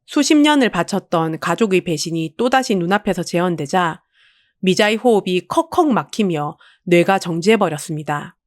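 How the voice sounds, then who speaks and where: A woman's voice: lowish voice, expressive, moderate pace, no room sound, studio quality. A woman reading a dramatic scripted story as an audiobook narrator.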